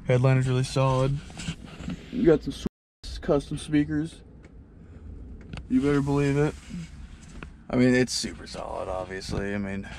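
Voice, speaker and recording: A man's voice: medium pitch, measured pace, long pauses, noisy background. Young man in casual shop talk. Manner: relaxed, joking, lots of "like" fillers. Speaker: car restorer. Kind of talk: casual hands-on chat. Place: outdoor lot, then a garage workshop.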